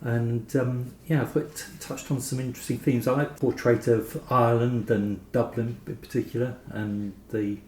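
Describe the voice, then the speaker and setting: A man's voice: medium pitch, level tone, normal speed, few pauses, darkish tone. Tentative male interviewer, echoey room. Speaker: podcast interviewer. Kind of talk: conversational literary interview. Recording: echoey room, faint background noise.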